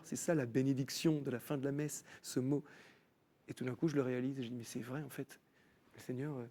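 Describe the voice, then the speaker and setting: A man, a musician guest in a studio, telling a personal faith testimony and acting out the voices he quotes. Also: mid-range voice. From France. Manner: animated storyteller, acts out quoted voices. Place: TV studio set.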